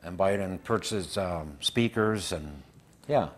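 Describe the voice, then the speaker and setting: A man in his fifties or sixties with a Norwegian accent, answering casually in an interview in halting, simple phrases. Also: deep voice. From Norway. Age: 50s to 60s. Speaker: band member being interviewed. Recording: clear background ambient noise.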